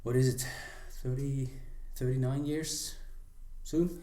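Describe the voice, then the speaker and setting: A middle-aged man whose first language is Swedish, talking casually and reflectively with frequent pauses. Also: medium pitch, flat delivery, warm tone. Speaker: karate teacher. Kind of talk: casual chat. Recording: echoey room.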